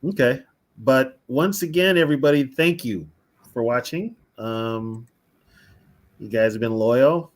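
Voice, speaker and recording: A man's voice: medium pitch, animated, a little slow. A man co-hosting a casual live stream, chatty, laughing easily and talking to the viewers in the chat. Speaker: co-host of a fan podcast.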